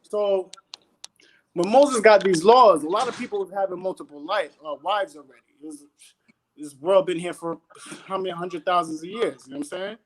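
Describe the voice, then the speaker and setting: Animated high-voiced man sermonizing in slang. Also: moderate pace, slight background noise, rough recording. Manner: animated, slangy, preachy, keeps asking "you know what I'm saying". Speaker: guest panelist. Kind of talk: casual panel chat.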